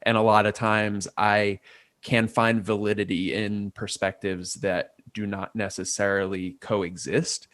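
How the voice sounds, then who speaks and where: Reflective New man, low voice. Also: little pitch movement, a little slow, a bit bright, no room sound, studio quality. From Port Chester, New York. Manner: reflective, thinks aloud from many angles. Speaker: guest, artist and designer. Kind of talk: casual interview conversation.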